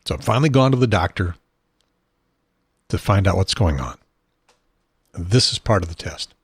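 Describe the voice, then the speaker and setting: A man hosting his own talk show from a home studio desk, rambling and self-deprecating, with a husky, unsteady voice and frequent throat clearing. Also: sits low, expressive, moderate pace, dry recording. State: has a probe in his nose. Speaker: podcast host.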